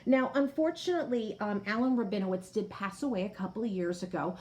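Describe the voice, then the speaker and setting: A woman reading a picture book aloud to children like a teacher, expressive, pausing to explain and put questions directly to young listeners. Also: medium pitch. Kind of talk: storybook read-aloud with explanatory asides.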